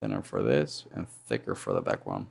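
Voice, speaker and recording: A man with a low baritone voice and a sore throat, chatting casually and humming to himself while he animates on a live stream. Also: animated, normal speed, creaky, a little mellow, slightly rough. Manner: rambling, thinks aloud, hums and mutters sound effects.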